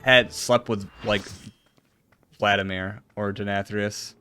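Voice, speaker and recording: A man streaming a game, chatting casually with friends in an excitable, jokey way. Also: fairly low pitch, takes it slowly, clear, resonant tone. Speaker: streamer, host of a raid playthrough. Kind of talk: casual gaming chat with friends. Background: game music.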